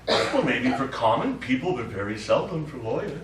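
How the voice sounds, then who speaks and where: An actor playing a smug, lecherous, none-too-bright lawyer in a live stage comedy, with an eager, suggestive manner. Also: male voice, mid-range voice, very animated, normal speed, recorded from a distance, close to an everyday voice. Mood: pushy, suggestive. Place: small theatre stage, distant microphone. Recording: echoey, noisy stage recording from afar.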